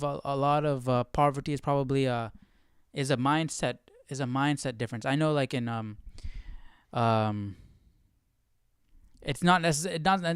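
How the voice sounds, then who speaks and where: Clear-voiced man thinking aloud, very dry sound. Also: medium pitch, no echo. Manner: thinking aloud, repeating and rephrasing. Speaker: live stream host. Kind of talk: casual reflective study commentary.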